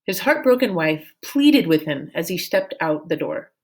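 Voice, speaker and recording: A woman narrating a storybook as an expressive read-aloud. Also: on the low side, moderate pace. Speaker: storyteller reading a book aloud.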